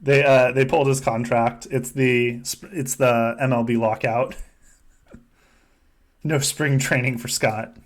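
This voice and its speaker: A man's voice: mid-range voice, fairly even intonation, measured pace. Joking, foul-mouthed man calling a game. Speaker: podcast host running a simulated game. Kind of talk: casual play-by-play commentary among friends.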